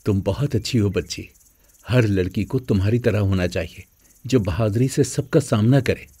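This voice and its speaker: A man voicing an earnest middle-aged father in an animated moral story. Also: lowish voice, moderate pace, husky. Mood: grateful, fatherly.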